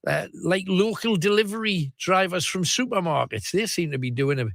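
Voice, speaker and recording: Chatty radio phone-in host. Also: male voice, high-pitched, animated, normal speed, gravelly, fairly bright tone, no echo. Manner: chatty, reads out the phone number. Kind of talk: casual radio chat. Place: radio studio. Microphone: studio microphone.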